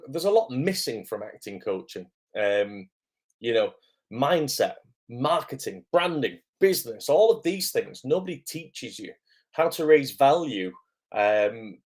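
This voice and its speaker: A man with a hoarse, croaky voice from illness, hosting a casual livestream as an acting coach, greeting viewers and filling pauses with "um". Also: medium pitch. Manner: chatty, lots of "um" fillers, greets viewers by name. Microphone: camera microphone.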